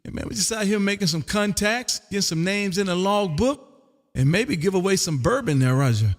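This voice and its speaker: A man's voice: high-pitched, expressive. A man from Texas hosting an amateur radio live stream, making calls and chatting casually in a folksy showman's way. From Texas, United States. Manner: folksy showman, drawn-out call signs, peppered with "man". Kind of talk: ham radio contact calls and casual chat. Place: home radio room. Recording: long hall-like reverb.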